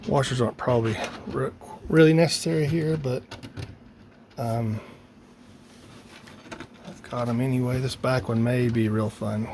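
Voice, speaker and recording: Casual man talking over clear background noise. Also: mid-range voice, fairly even intonation, unhurried, pauses often, a little mellow. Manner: informal, thinking aloud while working. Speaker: DIY radio hobbyist presenter. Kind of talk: casual hands-on how-to narration.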